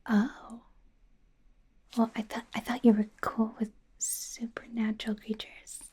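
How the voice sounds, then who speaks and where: Casting: actress for a nervous young she-werewolf medical student in whispered ASMR roleplay; soft mellow tone, higher-set voice, friendly but flustered and rambling. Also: completely flat delivery, unhurried, breathy edge. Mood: apologetic, chatty. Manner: nervous, hesitant, rambling with stammered repeats.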